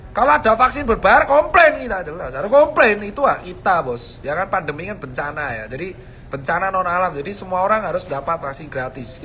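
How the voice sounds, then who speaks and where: High, bright-voiced man with a fierce, blunt delivery. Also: a little hiss or hum. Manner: slangy, calls listeners boss and bro. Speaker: vlogger giving health advice. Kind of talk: casual direct-to-camera talk.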